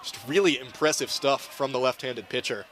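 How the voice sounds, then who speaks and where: A man's voice: medium pitch, moderate pace, fairly bright tone, a little hiss or hum. A young man giving live color commentary and analysis during a baseball game.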